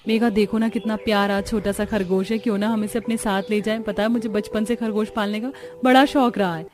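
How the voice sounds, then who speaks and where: A woman playing a young village daughter-in-law in a cartoon story, expressive and chatty. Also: mid-range voice, brisk, lightly acted. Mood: earnest, animated. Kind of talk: Hindi cartoon story dialogue.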